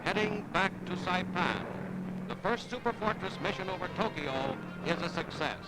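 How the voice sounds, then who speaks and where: A man's voice: sits high, normal speed, poor audio. A man with an American accent reading a scripted wartime newsreel narration in a dramatic, declamatory 1940s style. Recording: vintage 1940s newsreel soundtrack, loud music. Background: loud musical score.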